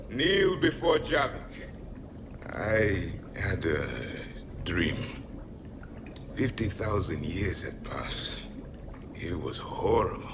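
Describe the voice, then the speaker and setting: An actor playing a haughty ancient alien warrior in a video game, groggy and halting, scornful of lesser species. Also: male voice, lowish voice, background noise. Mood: groggy, dismayed.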